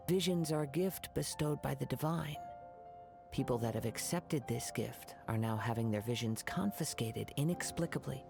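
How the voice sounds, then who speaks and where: Brief: performer as a gentle, gravelly young wandering swordsman, formal and composed. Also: mid-range voice, close to an everyday voice. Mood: thoughtful. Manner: measured, formal and gentle, drops to a whisper at times. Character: calm young male wandering samurai, poetic and courteous. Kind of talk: soft ASMR-style fan voice acting.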